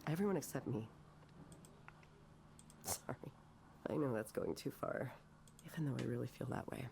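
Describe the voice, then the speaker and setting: An actress voicing an anguished, bitter, self-doubting woman artist in a naturalistic visual novel, speaking haltingly and emotionally. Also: a bass voice, lively intonation, vocal fry, noisy background, full character voice. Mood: self-loathing. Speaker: counselling patient.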